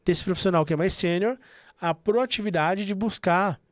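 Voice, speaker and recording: A man's voice: sits high, moderate pace, no echo. Man hosting a finance podcast, dry sound. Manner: conversational, explanatory, frequent fillers. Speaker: podcast host. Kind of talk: casual business podcast chat.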